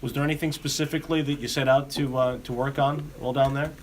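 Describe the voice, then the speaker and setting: Male reporter, halting questions, faint noise. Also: mid-range voice, fairly even intonation. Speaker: sports reporter. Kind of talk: press questioning. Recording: conference call with faint noise.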